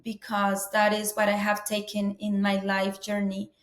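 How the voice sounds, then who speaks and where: A woman's voice: medium pitch, completely flat delivery, measured pace. Earnest woman, roomy sound. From Colombia. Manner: earnest, warm storytelling with frequent "you know" fillers. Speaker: guest, business owner. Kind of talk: interview conversation. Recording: roomy echo.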